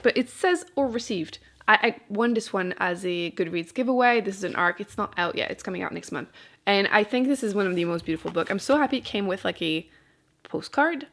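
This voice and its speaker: Woman booktuber just chatting, lots of vocal fry, rambly and kinda dry, keeps interrupting herself. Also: medium pitch, a bit bright. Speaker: book vlogger and channel host. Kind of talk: casual chat to camera about books.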